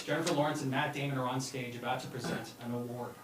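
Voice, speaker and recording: A man's voice: mid-range voice, steady tone, quick pace, a bit bright. Roomy, distant man reading stage directions. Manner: stumbles over lines, breaks into laughter. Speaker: narrator reading stage directions at a table read. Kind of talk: live script read-through, narrating. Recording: roomy, distant mic, music behind.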